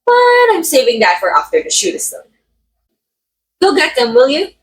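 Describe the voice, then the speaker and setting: A woman's voice: sits high, very animated, normal speed, a little hiss or hum. A woman playing a vain, murderous method actress in an audio roleplay, coy, theatrical and condescending, speaking straight to the listener. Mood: coy, imperious. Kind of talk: ASMR roleplay acting, addressing the listener.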